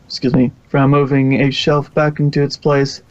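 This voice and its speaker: A man's voice: mid-range voice, level tone, moderate pace, darkish tone, no room sound, dull audio. A man reading fan fiction aloud as the narrator, close to the microphone.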